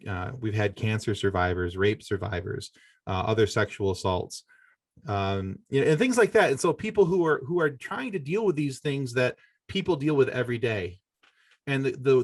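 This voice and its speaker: A man's voice: mid-range voice, dry recording, studio quality. A middle-aged American man from Michigan, a podcaster, giving earnest, rambling conversational answers as an interview guest. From Michigan, United States.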